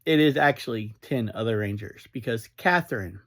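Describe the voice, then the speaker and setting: A man giving a casual fan review as a solo podcast reviewer, speaking in halting, broken phrases. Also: medium pitch, animated, fairly bright tone.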